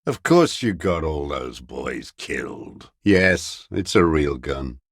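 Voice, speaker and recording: A scornful old communist deserter in a video game. His voice is extremely low and gravelly, recorded close and dry. He speaks with bitter, unrepentant contempt, sneering at whoever he addresses. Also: sing-song, takes it slowly, no room sound, exaggerated voice. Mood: mocking contempt. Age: older. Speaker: voice actor. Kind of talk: dramatic video game character dialogue.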